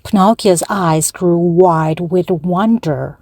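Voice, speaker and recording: Woman narrator, very slow and deliberate, clear storybook reading, dry sound. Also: on the low side, a little mellow, no echo. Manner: slow, careful, evenly paced reading. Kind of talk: slow read-aloud for language learners.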